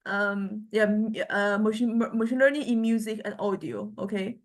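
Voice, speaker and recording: A woman lecturing informally to a university class, speaking haltingly and often stumbling on the starts of words. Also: medium pitch. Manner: halting, hesitant, with frequent stammered word starts.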